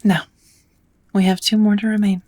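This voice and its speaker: A woman voicing a kind, patient witch in a soft, often whispered ASMR roleplay, teaching magic in a gentle, measured, slightly formal way. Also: mid-range voice, little pitch movement, natural voice. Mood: calm, patient.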